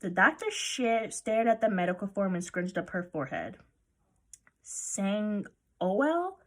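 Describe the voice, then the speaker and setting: A woman reading a children's picture book aloud as narrator, with expressive storytelling. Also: mid-range voice, normal speed.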